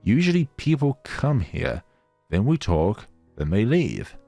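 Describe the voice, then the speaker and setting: A man voicing a lonely, gentle snow spirit girl in a visual novel, with a wistful, lilting manner. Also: lowish voice, huge pitch swings, measured pace, vocal fry, no room sound, faint music behind, full character voice.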